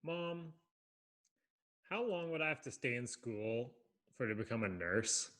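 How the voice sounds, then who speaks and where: A man's voice: mid-range voice, lively intonation, a little slow, fairly bright tone. A man reading the part of a glum teenage boy at a screenplay table read, sulky and self-deprecating. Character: glum teenage boy, a discouraged young writer.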